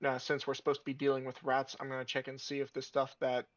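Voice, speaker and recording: A man playing a tabletop game, talking casually in a hesitant, halting way. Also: medium pitch, completely flat delivery. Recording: slightly dull, lo-fi microphone sound.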